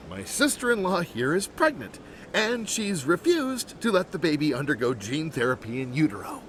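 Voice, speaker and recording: A high, tense, insistent civilian. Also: male voice, sing-song, normal speed, fairly bright tone, a little hiss or hum. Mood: exasperated, insistent. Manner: urgent, pleading. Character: insistent, exasperated brother-in-law, human civilian. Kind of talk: video game drama dialogue.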